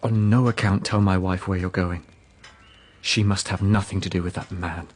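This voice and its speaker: Actor as low-voiced, reserved gentleman. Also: fairly even intonation, normal speed, a little hiss or hum, close to an everyday voice. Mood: coldly resolute. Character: reserved, unyielding gentleman master of the house. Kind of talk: radio drama acting.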